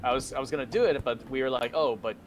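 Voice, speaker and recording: A man's voice: mid-range voice, quick pace, background noise. A young man chatting casually while playing a game with a friend, telling long rambling stories and laughing often. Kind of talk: casual gaming chat. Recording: game music under voice. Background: game music.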